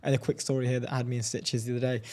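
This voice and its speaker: A man co-hosting a casual talk show, animated and laughing often, reading out quotes. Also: medium pitch, racing. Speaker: co-host. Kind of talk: casual chat.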